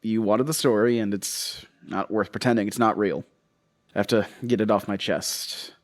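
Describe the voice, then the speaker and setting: Man playing a low-voiced, troubled investigator. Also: fairly even intonation, lightly acted. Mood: weary, confessional. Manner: halting, rambling, anxious, confessional. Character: troubled, defensive male investigator recounting his case. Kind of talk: horror audio drama acting.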